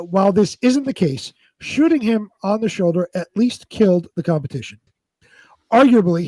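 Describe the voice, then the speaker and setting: A man co-hosting a live show, moving between amateur scripted narration and casual, halting chat. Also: a little high, darkish tone, husky, no room sound, low-quality recording. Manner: halting, repeats words when ad-libbing.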